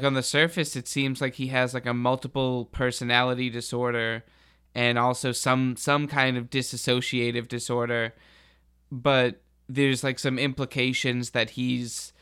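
Clear-voiced man, even-toned, rambling casually. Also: medium pitch. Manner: rambling, informal storytelling with frequent hedging filler words. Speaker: podcast speaker. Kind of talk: casual podcast discussion.

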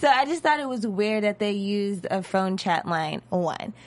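A woman's voice: medium pitch, animated, measured pace. A woman co-hosting a podcast, giving blunt, emphatic opinions in casual chat. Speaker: podcast co-host.

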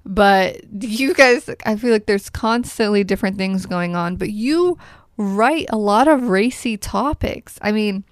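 A woman's voice: mid-range voice, a little slow, no room sound, clean studio sound. A woman hosting a podcast in a playful, jokey way, with theatrical swings in her voice as she chats. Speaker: podcast host. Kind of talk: casual chat.

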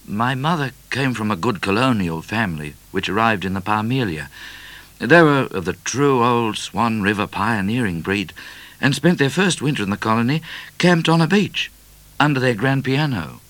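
A man's voice: mid-range voice, animated, normal speed, a bit bright, no echo, pitched above the performer's own voice, lightly acted. A man playing a modest, romantic adventurer in a storybook, polite and easygoing in manner. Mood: modest, proud. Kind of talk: storybook character voice.

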